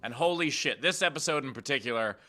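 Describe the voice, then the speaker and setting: Bright-voiced man hosting a comedy show. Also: mid-range voice, lively intonation, quick pace. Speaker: show host.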